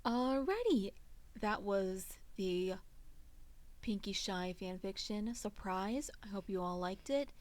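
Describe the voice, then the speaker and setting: A woman's voice: mid-range voice, lively intonation, slow pace, a little mellow. A woman reading fan fiction aloud in a storytelling narration style.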